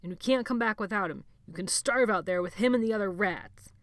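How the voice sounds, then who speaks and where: A woman's voice: lowish voice, brisk, no room sound, lightly acted. A woman reading an audiobook, voicing a hard, contemptuous boy leader who gives cold orders. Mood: cold, scornful. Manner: cold, commanding. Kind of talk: audiobook character voice.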